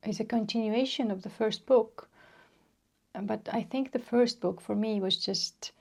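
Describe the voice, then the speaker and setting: A woman with an Estonian accent hosting a solo podcast, talking slowly and measuredly with many pauses. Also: medium pitch, creaky, darkish tone. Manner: slow and measured, with frequent pauses. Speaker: podcast host. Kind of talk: unscripted solo podcast talk.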